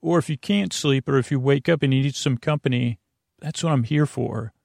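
Male sleep podcast host, gravelly and creaky, meandering and hesitant bedtime talk, very dry close sound. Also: mid-range voice, a little mellow, dry recording. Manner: meandering, halting, stammering, trailing off into tangents. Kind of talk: rambling, soothing bedtime monologue to the listener.

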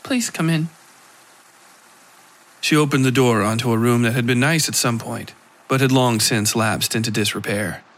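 A man giving a scripted narration of a dark horror tale for a fiction podcast. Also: mid-range voice, steady tone, a little fast. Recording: clear music behind the voice.

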